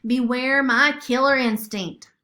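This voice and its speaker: Lady doing a bossy bragging storybook cat, bright n forceful, pitched up, super dramatic. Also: fairly even intonation, slow pace, heavily acted. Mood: boastful. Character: boastful, fierce female ship's cat, bossy mentor. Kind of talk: children's storybook character.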